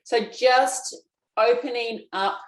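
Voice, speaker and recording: A woman's voice: on the high side, slow pace, roomy sound, rough recording. A woman teaching a guided self-massage class, chatty and folksy, talking straight to her viewers. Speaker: lymphatic drainage instructor.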